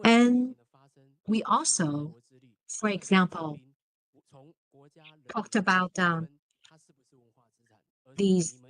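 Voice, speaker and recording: A woman speaking as a seminar moderator, giving formal closing remarks in measured, halting phrases. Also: medium pitch, expressive, rough recording.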